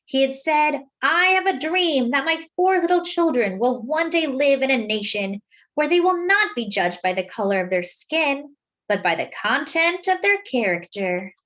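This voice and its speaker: A woman reading a children's picture book aloud, with dramatic, deliberate phrasing and drawn-out words. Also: high voice, expressive, fairly bright tone, lo-fi sound. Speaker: children's story reader.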